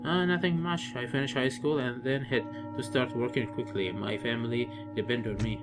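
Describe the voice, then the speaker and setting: Obedient young game maid played by a man, apologetic, halting and deferential, music underneath. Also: mid-range voice, fairly even intonation, natural voice. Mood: modest. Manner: hesitant, deferential, stumbling.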